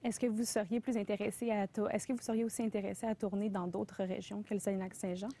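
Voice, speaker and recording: A woman's voice: medium pitch, level tone, fast talker, dark tone. A woman interviewer putting polite questions to a guest.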